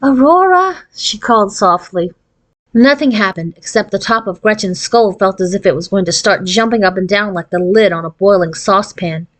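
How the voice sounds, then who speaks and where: Give the voice of a woman narrating a children's novel aloud. Her pitch sits in the middle range, and her delivery is expressive storytelling. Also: normal speed. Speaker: audiobook reader.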